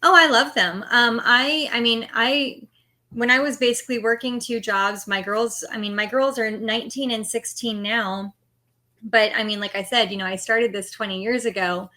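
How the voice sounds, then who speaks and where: A woman's voice: fairly high pitch, fairly even intonation, normal speed, sharp tone. A middle-aged woman being interviewed as an author, answering candidly and laughing easily.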